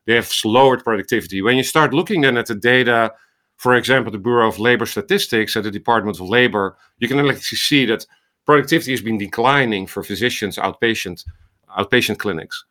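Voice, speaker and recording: A middle-aged man with a Dutch accent talks at length in an interview, working through his points with small restarts. His voice is bright and forward, set at an ordinary pitch for a man. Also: quick pace. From Netherlands. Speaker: guest, ophthalmologist and AI researcher. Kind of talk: thoughtful interview answers.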